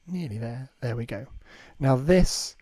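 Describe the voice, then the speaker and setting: A man's voice: mid-range voice, expressive, a little slow, no room sound. A man hosting a casual live demonstration, talking unscripted and thinking aloud, often repeating his phrases. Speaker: livestream host and hobbyist developer. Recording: loud music under the voice. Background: ambient music.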